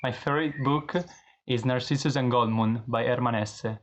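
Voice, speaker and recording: A man, giving a measured self-introduction as an engineering student. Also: medium pitch, steady tone, a little hiss or hum. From Milan, Italy. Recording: slightly dull sound.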